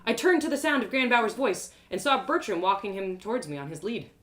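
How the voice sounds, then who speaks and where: A woman reading a fantasy story aloud in an expressive, first-person narrating style. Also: mid-range voice, fairly quick.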